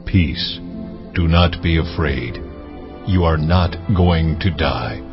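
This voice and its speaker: An actor playing the solemn, commanding voice of God in a dramatized scripture recording, speaking deliberately and with authority. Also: male voice, very deep voice. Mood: calm, reassuring. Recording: muffled audio with music underneath.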